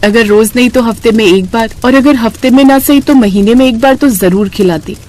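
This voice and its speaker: A woman's voice: a little high, a little fast, darkish tone, natural voice. A woman voicing a humble, earnest housemaid in a cartoon story, speaking politely and deferentially. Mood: wistful. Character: humble, earnest poor housemaid, a grateful daughter-in-law. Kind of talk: Hindi cartoon story dialogue.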